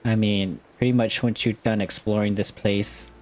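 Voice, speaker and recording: A woman's voice: extremely low pitch, steady tone, moderate pace, a little mellow. A woman giving casual, playful commentary over a game playthrough, slangy and chatty. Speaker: gaming video creator.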